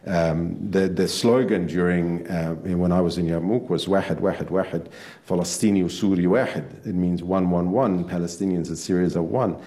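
A man speaking as a guest on a panel, halting and full of fillers and restarts. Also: deep voice, steady tone, dark tone. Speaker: author guest. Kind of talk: panel discussion. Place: on stage before an audience.

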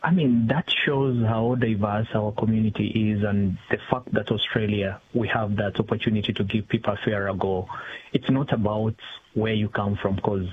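A middle-aged man giving spontaneous interview answers, haltingly, repeating words as he thinks. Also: lowish voice, steady tone. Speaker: interview guest, community executive officer. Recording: tinny phone line with hiss.

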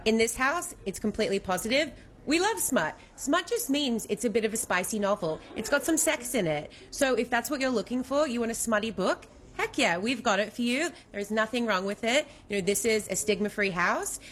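A woman's voice: on the high side, lively intonation, a little fast, a little hiss or hum. Enthusiastic woman shop owner. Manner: enthusiastic, emphatic. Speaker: bookshop owner and author. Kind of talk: interview answers.

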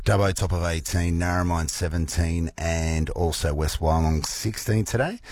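A male Australian radio presenter with a croaky morning voice, chatting casually and playfully with listeners between weather and songs. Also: deep voice, moderate pace, darkish tone, no echo. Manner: chatty, playful, rambling asides. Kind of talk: casual breakfast radio chat. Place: radio studio.

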